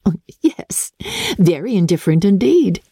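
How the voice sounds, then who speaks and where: Woman acting a vexed, wry young gentlewoman, wildly swooping. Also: fairly low pitch, very animated, unhurried, breathy edge, no echo, clean studio sound, pitched above the performer's own voice, full character voice. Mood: teasing. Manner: emphatic, theatrical, swooping intonation. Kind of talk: dramatic literary audiobook reading.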